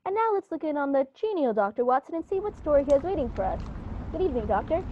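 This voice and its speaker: A woman's voice: high-pitched, sing-song, fast, dark tone. A woman playing a cheery, eager male announcer in 1940s radio style, chummy and prompting, on a dull, noisy recording.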